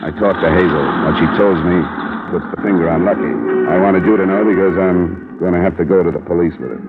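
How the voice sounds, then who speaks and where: An actor playing a world-weary American insurance investigator in a 1950s radio drama, speaking in a clipped, sardonic way. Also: male voice, low-pitched, fairly even intonation, fast, loud music behind the voice. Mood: pleading, resigned. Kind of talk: 1950s radio detective acting. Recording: muffled, narrow-band old radio recording.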